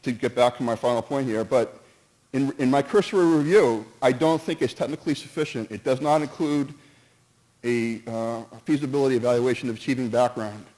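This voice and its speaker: A man's voice: mid-range voice, fairly quick, darkish tone, low-quality recording. A man speaking at a town meeting, earnest and cautious, who stumbles, restarts and jumps between points while giving his opinion. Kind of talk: public comment at a town meeting.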